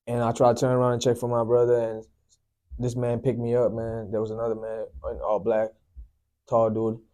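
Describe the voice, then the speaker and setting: A young man from Sri Lanka, a guest telling his life story in a soft, unhurried way, often filling with "you know, man". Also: mid-range voice, completely flat delivery. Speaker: guest sharing testimony. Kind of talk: personal testimony, casual storytelling.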